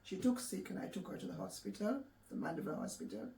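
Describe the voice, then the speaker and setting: A woman from Jamaica with a Jamaican accent, recounting her life story in a soft, reflective way with halting restarts. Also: medium pitch, expressive, creaky. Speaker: interview guest. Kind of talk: personal storytelling in an interview. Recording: echoey room, distant mic, faint background noise.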